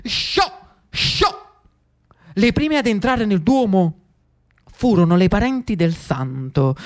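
Theatrical Neapolitan man telling a story. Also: high voice. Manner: dramatic, ironic, dialect-flecked. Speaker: actor-storyteller. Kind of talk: theatrical monologue narration.

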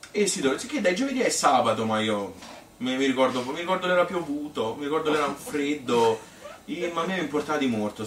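A man's voice: mid-range voice, close to an everyday voice. A man playing an old Tuscan grandmother who reminisces in a rambling, colloquial monologue, chatty, feisty and devoted to her husband. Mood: fond reminiscing. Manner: rambling, colloquial, repetitive, with little interjections. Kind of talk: spontaneous-sounding theatrical monologue. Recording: echoey, distant, noisy stage recording.

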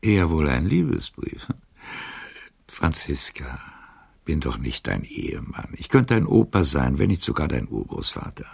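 An actor playing a frail, wry, world-weary old gentleman in a poetic radio drama, halting and often near a whisper. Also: a bass voice, big pitch swings, creaky, slightly rough, no echo, heavily acted. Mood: amused, teasing. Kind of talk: German radio drama acting, poetic verse.

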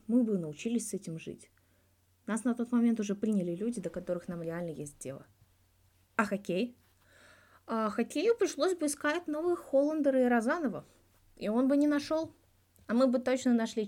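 Woman reading as a mellow, blunt male hockey star. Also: fairly high pitch, animated, moderate pace, voice pushed up, lightly acted. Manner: frank, colloquial, swearing. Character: blunt, reflective male Russian hockey star giving an interview. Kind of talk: audiobook dialogue as interview answers.

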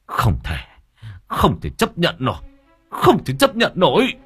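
A man's voice: on the high side, wildly swooping pitch, a little slow, fairly bright tone, slightly rough, faint music behind, pitched above the performer's own voice, full character voice. A man voicing a furious, domineering middle-aged father in an audio story, cursing and repeating himself in rage. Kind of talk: acted dialogue in audio storytelling.

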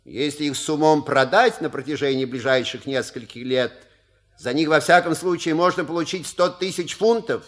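A man playing a shrewd, courteous manservant in an audio play, with measured, ironic and rhetorical delivery. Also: on the high side, close to an everyday voice. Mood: unruffled, polite. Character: suave, shrewd manservant and proud father. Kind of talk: theatrical audio play acting.

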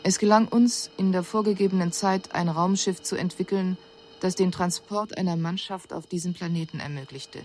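Alien envoy, female actor: slightly low voice, sober and exact, formal radio-play report over faint noise. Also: fairly even intonation, moderate pace, darkish tone, lightly acted. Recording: radio drama recording with audible background noise.

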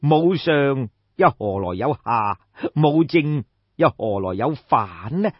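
A man's voice: medium pitch, expressive, slow, husky, dry recording, highs cut off. A man voicing a shrewd, commanding ancient king in an audiobook, lecturing in a pompous, rhetorical way. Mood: knowing, lecturing. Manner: pompous, rhetorical, sighing and explaining at length. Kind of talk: dramatised audiobook storytelling.

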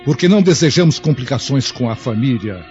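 Um homem fazendo chefe da guarda autoritário. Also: male voice, medium pitch, animated, lightly acted. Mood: commanding. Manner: firm, measured orders. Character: commanding male chief of imperial guard. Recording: muffled audio under music.

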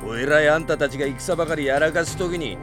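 A male actor playing a rough, ruthless bandit chief in a fantasy audio drama, taunting and coarse, with a gravelly voice. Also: fairly high pitch, fast talker, close to an everyday voice. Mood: bitter scorn. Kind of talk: Japanese fantasy radio drama acting. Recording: dramatic music behind the voice.

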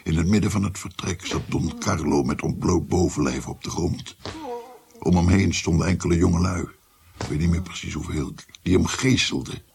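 An actor playing a shaken old grandfather who recounts a haunting memory in a dramatic, halting radio play narration. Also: deep voice, steady tone, a little mellow, slight background noise. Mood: grave, haunted. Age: older. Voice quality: husky, gravelly.

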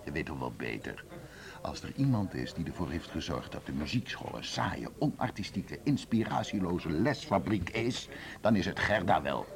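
A man's voice: deep voice, very animated, moderate pace, vocal fry, crowd noise, full character voice. An actor playing an indignant, sarcastic male music teacher in a radio drama.

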